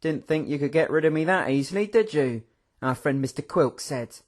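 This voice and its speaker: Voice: medium pitch, lively intonation, normal speed, natural voice. A reader voicing a cocky, jaunty man who brags about his escapes. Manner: jaunty, boastful. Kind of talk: audiobook character voice.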